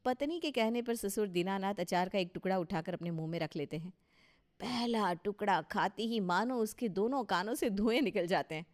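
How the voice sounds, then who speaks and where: A woman's voice: medium pitch, normal speed. Woman narrating a scripted story.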